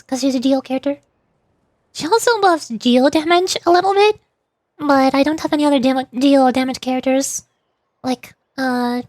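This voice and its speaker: A woman's voice: high-pitched, darkish tone, no room sound. A woman streamer chatting casually through a game, often tripping over her words and correcting herself. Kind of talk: casual game commentary and voice acting.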